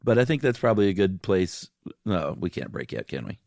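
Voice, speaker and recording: A man's voice: low-pitched, very animated, fairly quick, vocal fry, darkish tone, no room sound, studio quality. A man co-hosting a podcast, chatting in a laid-back, informal way. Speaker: podcast co-host. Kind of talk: casual chat.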